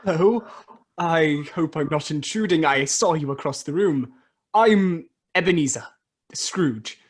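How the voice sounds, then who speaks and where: A woman playing an earnest, wistful man with a husky voice in an amateur radio play. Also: low-pitched, unhurried. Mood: shy.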